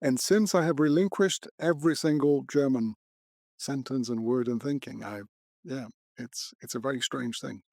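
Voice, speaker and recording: A man in his fifties hosts a podcast interview. He speaks in a halting way with many fillers, at a middle pitch. The sound is dry and close. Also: gravelly, darkish tone, dry recording. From Greece. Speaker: podcast host. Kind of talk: conversational interview.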